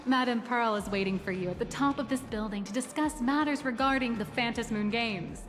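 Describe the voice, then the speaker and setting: A woman's voice: a little high, over music, close to an everyday voice. A woman voicing a self-assured, secretive corporate tour guide in a video game, speaking formally and with composure. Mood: poised, pleased. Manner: formal, composed, measured phrasing. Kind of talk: scripted video game character dialogue.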